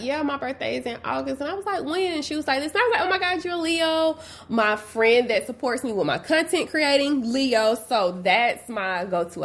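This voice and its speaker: Chatty young woman hosting a podcast. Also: a little high. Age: 20s. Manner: chatty, colloquial, slangy. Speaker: podcast host. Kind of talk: casual podcast chat.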